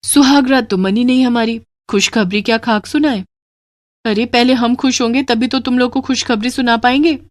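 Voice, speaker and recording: Woman voicing an indignant young bride, high-set voice. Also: fairly even intonation, normal speed, no room sound, lightly acted. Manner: complaining, pleading.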